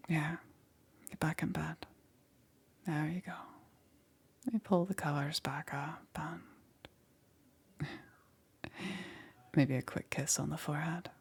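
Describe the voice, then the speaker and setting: A woman voicing a caring, gently persuasive witch in a hushed, breathy ASMR roleplay. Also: low voice, steady tone, slow pace, pauses often, full character voice. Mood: tender, soothing. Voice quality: breathy, whispery. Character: soft, coaxing witch, a caring self-confessed monster.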